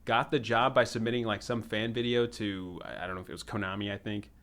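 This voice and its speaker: A man's voice: fairly low pitch, steady tone, quick pace, fairly bright tone. A man hosting a casual movie review chat, talking in a rambling way and swearing freely. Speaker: podcast host.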